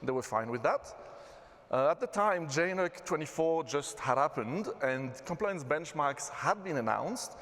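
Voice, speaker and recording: A man from Belgium with a Belgian accent, giving a relaxed conference talk as a systems engineer. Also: on the high side, unhurried. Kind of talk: conference presentation. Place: conference hall. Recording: reverberant hall.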